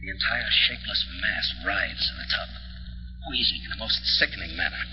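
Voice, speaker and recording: An actor playing an obsessed, menacing husband with melodramatic, brooding delivery in 1940s radio horror. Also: male voice, fairly low pitch, normal speed, lightly acted. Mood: horrified fascination. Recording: old radio recording, muffled, long reverb.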